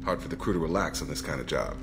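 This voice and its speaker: An actor playing a dry, world-weary alien soldier and loyal comrade in a video game, wry and easygoing. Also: male voice, a bass voice, sing-song, quick pace, creaky, heavily acted. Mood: relaxed, wry. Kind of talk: video game character acting.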